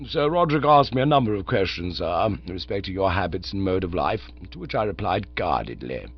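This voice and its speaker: A man reading aloud and voicing a formal, unflappable middle-aged valet, low and measured. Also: sing-song, dull audio, full character voice. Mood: grave, deferential. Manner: deferential, slow, ends on a deep "sir".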